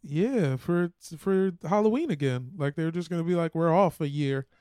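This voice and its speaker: A man's voice: on the high side, animated, normal speed, warm tone, clear, resonant tone, dry recording, clean studio sound. An American man co-hosting a podcast with slangy, sweary, joking banter. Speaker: podcast co-host. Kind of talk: casual comedic banter.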